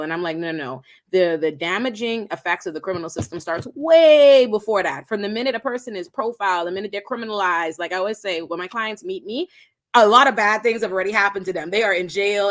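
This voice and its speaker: A woman's voice: on the low side, fairly bright tone. A young woman from the Bahamas, a public defender, speaking as a guest in an emphatic, articulate way. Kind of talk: casual interview talk.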